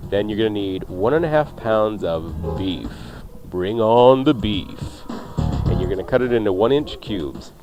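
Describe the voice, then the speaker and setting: A man's voice: on the low side, very animated, normal speed, few pauses, over music. A man hosting a radio cooking show, chatting casually and playfully as he jokes through recipes. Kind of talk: casual, jokey recipe banter.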